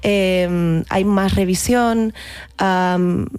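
A woman's voice: lowish voice, fairly even intonation, very slow, darkish tone, clear, resonant tone. A woman in her thirties with a mixed Catalan and Madrid accent in Spanish, answering interview questions in a reflective, informal way. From Barcelona, Spain. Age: 30s. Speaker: actress, interview guest.

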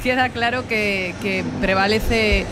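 A man's voice: high voice, steady tone, slow, a loud crowd. A man discussing football conversationally as a pundit.

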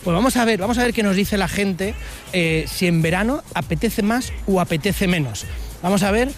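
A man's voice: sits high, moderate pace, faint music behind. A man hosting a radio show, doing playful, teasing street interviews outdoors on a city street with a mobile unit. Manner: playful and teasing, with many fillers.

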